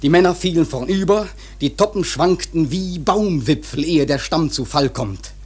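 A male actor narrating a sea story for a German radio play in a grave, measured, literary manner with a husky voice. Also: on the high side, lively intonation, a little mellow. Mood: urgent, intense. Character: grave seafaring storyteller recounting a whaling disaster.

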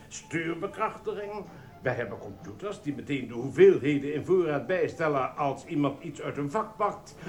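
A man's voice: sits high, roomy sound. An actor playing a smug, officious assistant supermarket manager in a radio play, a pompous man who loves order. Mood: proud.

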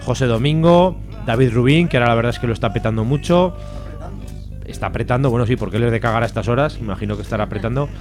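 A man's voice: mid-range voice, fast talker, a little mellow. A man from Spain with a Spanish accent, chatting casually on the radio in a jokey, slangy, irreverent way. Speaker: comics guest and fanzine publisher. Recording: music playing behind.

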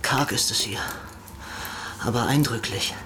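Frail young man played by an actor, very slow, soft, subdued letter reading, medium pitch, ambient noise. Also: close to an everyday voice. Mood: subdued, dry. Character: frail young student quietly reading his postcard home. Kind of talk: radio play letter reading.

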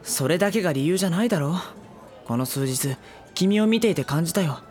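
A man playing a gentle, exasperated, self-deprecating high school boy in a Japanese anime audio drama. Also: expressive, a little fast, soft background music, close to an everyday voice. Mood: questioning.

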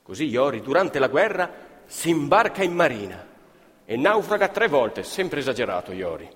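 Casting: male stage narrator, medium pitch, highly animated, colloquial and emphatic, live in a hall. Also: normal speed. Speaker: actor-narrator. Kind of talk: dramatic theatrical storytelling of oral history.

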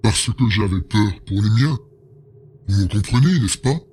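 A man's voice: fairly low pitch, lively intonation, measured pace, a little mellow, a little hiss or hum. A man voicing an anxious male bear-like alien advisor who talks in a hesitant, pleading way. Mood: worried. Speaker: voice actor in an audio drama.

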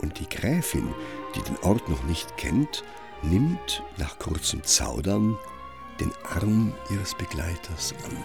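Deep, slow male narrator over music. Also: sing-song, creaky. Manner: measured, storytelling delivery. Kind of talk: literary scripted narration for radio drama.

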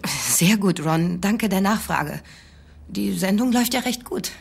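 An actress playing a frank, slightly uneasy radio talk host in a radio drama. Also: female voice, mid-range voice, expressive, measured pace, gravelly, slightly rough, full character voice. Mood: polite, nervous. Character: wary, frank female radio talk host facing her boss.

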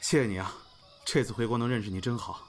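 A man playing a composed, earnest elder brother in a modern audio drama, speaking in a measured, sincere way. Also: mid-range voice, over music, close to an everyday voice. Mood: sincere, reflective. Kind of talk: modern Chinese audio drama acting, naturalistic dialogue.

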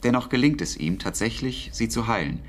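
An actor in a German radio drama playing a wartime codemaster, awkward and exacting, with a hesitant, precise delivery and a wistful streak. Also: male voice, mid-range voice, animated, a little fast, close to an everyday voice. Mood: reflective storytelling.

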